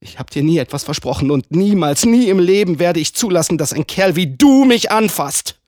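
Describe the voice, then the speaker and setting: A man voicing a proud young woman who answers with sharp, defiant scorn. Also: medium pitch, animated, moderate pace, husky, no echo, pitched above the performer's own voice.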